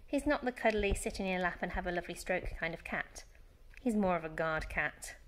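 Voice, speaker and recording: A woman reading a children's storybook aloud as a teacher, with animated, dramatic emphasis. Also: lowish voice, brisk, a little hiss or hum. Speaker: primary school teacher reading story time.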